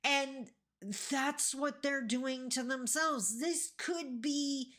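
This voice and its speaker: A middle-aged woman from Northern Ontario speaks to her viewers in a slightly raised voice at an unhurried pace. She is still recovering from a cold. She talks to them warmly and directly, with plenty of enthusiasm. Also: high-pitched, fairly even intonation. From Northern Ontario, Canada. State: getting over a cold. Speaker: vlogger, host of her channel. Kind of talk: casual direct-to-camera talk.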